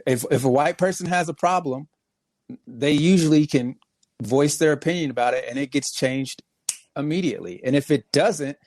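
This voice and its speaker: A man's voice: a little high, normal speed, a little mellow, no echo. A man who is a guest on a podcast, talking in an emphatic, explanatory way in casual discussion.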